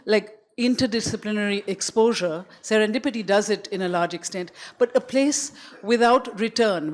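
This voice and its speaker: Effusive woman asking a long question. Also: mid-range voice. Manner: effusive, winding, emphatic. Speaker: audience member, artist.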